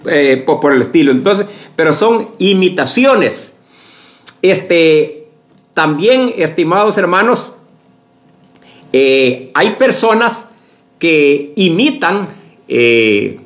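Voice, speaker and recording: A pastor preaching a sermon to his congregation, speaking slowly with many fillers and restarts, often asking for agreement. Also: fairly high pitch. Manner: addresses the congregation as brothers, asks for agreement.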